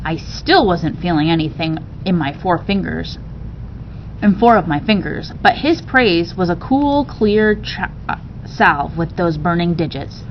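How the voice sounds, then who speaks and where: A woman reading a children's novel aloud with animated expression, occasionally stumbling and rereading a word. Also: a little slow. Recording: dull sound with faint noise, rumble.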